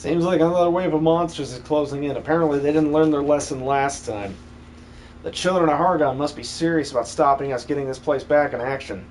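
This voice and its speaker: A brash, rowdy fantasy game fighter, played by a man. The voice sits a little high for a male and has the swagger of someone rallying troops. It is an amateur dub with faint background noise. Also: fairly quick, lightly acted. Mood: defiant, rallying.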